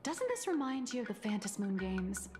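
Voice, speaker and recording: A woman voicing a poised female comic artist in a video game, explaining in a measured, lightly wry way. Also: mid-range voice, big pitch swings, natural voice. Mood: calm. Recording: game audio with long reverb and faint music.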